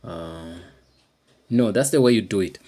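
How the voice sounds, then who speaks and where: A man's voice: lowish voice, lively intonation, mellow. A man presents a casual how-to. He speaks off the cuff, stopping and starting and repeating phrases as he explains each step. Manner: halting and repetitive, often checking understanding. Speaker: tutorial presenter. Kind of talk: informal unscripted how-to demonstration.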